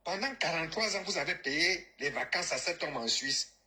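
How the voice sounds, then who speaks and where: A man's voice: on the high side, animated, normal speed, bright, forceful tone. A Cameroonian man ranting about politics, mocking and scornful, and mixing in local-language phrases. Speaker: caller, diaspora activist. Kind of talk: heated political rant.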